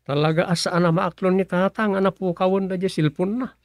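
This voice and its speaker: A man's voice: high voice, fairly even intonation, fairly quick, no echo, close to an everyday voice. A man with a Filipino accent playing a villager on a call in a radio comedy drama. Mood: doubtful. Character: male villager Dom, plain-spoken friend on the phone.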